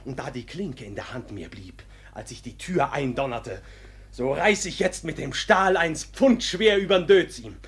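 A man's voice: medium pitch, expressive, measured pace, fairly bright tone, husky, full character voice. An actor playing an indignant young peasant lad in a radio play, telling his tale in vivid, earthy verse. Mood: excited, indignant. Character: indignant, hot-headed young peasant lad, jilted fiancé.

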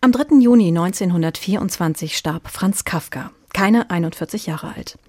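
A woman's voice: fairly low pitch, moderate pace, warm tone, no room sound, clean studio sound. A woman presenting a review programme, moving between interview questions and scripted announcements. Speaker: radio presenter.

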